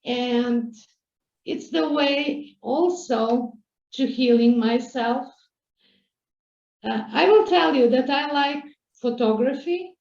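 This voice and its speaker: A woman's voice: sits high, takes it slowly, gravelly, a little mellow, reverberant, highs cut off. A woman from Serbia with a Serbian accent, a native Serbian speaker, answering interview questions in English and searching for words. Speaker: guest.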